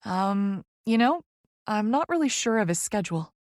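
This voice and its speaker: A woman's voice: fairly high pitch, expressive, slow, dry recording, clean studio sound. A woman voicing a polite, guarded young woman doctor in an audiobook, with a hesitant, reserved manner. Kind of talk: audiobook character voice.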